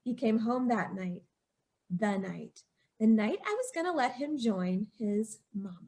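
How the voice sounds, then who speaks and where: A woman's voice: on the high side, expressive, measured pace, warm tone. A woman playing a scheming, guilt-ridden young wife who confesses to murder in feverish, insistent old-time radio style. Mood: sly scheming. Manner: feverish, melodramatic, insistent repetition.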